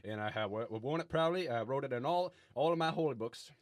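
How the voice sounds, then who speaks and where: A man playing a self-important, irascible reverend in a mock talk show, indignant and halting. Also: mid-range voice, lively intonation, dry recording, close to an everyday voice. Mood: proud, touchy. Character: pompous, touchy, irascible reverend and author. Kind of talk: mock talk show interview, improvised comedy.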